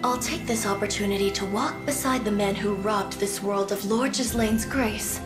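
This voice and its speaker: A woman playing a proud, vengeful scholar in a video game, with cool, formal, pointed and deliberate delivery. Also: medium pitch, natural voice. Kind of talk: video game cutscene acting. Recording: music behind the voice.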